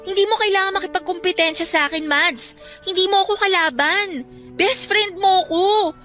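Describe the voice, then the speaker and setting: A woman's voice: extremely high pitch, normal speed, sharp tone. A woman playing an earnest, studious young best friend, admonishing in a radio drama. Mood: hurt, admonishing. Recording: music bed under the voice.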